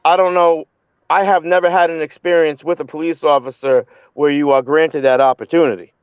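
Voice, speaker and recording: A man's voice: fairly high pitch, steady tone, fairly quick, smooth, dry recording, lo-fi sound. A man on a live online panel who talks bluntly and with heat, often stumbling and repeating words. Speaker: panel guest. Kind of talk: heated casual live-stream chat.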